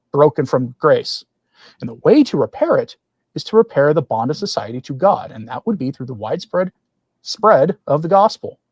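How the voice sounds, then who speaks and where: A man's voice: fairly high pitch, darkish tone, no room sound. A man hosting a religious commentary livestream, talking in an earnest, opinionated way with wry asides. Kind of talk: opinionated commentary, partly reading quoted text aloud.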